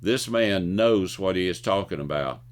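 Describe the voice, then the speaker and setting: A man's voice: deep voice, animated, normal speed. An older man giving scripted, opinionated commentary in a folksy way, now and then stumbling over words as he reads. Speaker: host of a video commentary show. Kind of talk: scripted commentary with jokes and anecdotes.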